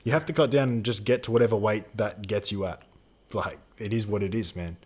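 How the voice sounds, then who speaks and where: A man's voice: fairly low pitch, a little fast, darkish tone, husky. A man in his twenties co-hosting a live Q&A stream, chatting casually and reading out viewer questions. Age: 20s. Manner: casual slangy, swears, reads out chat questions.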